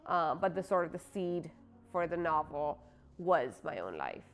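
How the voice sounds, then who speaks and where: Woman from pakistan chatting about her writing, lowish voice, soft music under it. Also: sing-song, unhurried. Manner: casual, many "like" fillers. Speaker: author. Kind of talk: interview, personal story.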